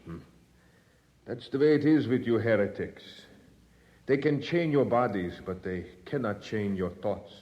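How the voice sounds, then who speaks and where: An actor playing a gruff, sardonic jailer in a radio drama, with a wry, casual manner. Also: male voice, fairly low pitch, expressive, unhurried. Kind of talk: old radio drama acting. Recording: dull old radio recording.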